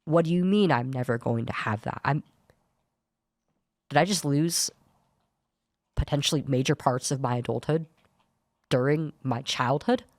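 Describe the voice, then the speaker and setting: A young woman with a low voice that is hard to place as male or female, giving an earnest personal account as a podcast guest, often restarting her sentences. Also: measured pace, pauses often, darkish tone, dry recording, clean studio sound. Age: young adult. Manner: earnest, searching, many restarts. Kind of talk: personal account in studio talk show.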